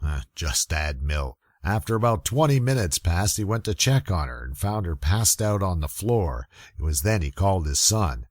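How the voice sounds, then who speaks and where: A man narrating a first-person detective mystery in old-time radio style, hard-boiled, deadpan and wry. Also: very deep voice, no room sound, clean studio sound.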